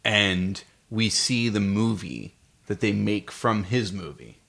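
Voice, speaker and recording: A man's voice: fairly low pitch, expressive, slow pace, creaky, fairly bright tone. Male podcast co-host, middle-aged, casual and encouraging, guides a young partner with questions. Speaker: podcast co-host, parent talking with child. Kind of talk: casual movie discussion with a child.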